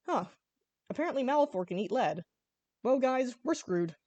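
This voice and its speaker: Woman reading as a brash, defiant young dragon hero, animated. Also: on the high side, normal speed, no echo, highs cut off. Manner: sarcastic, exclamatory. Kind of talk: hobby fan fiction reading.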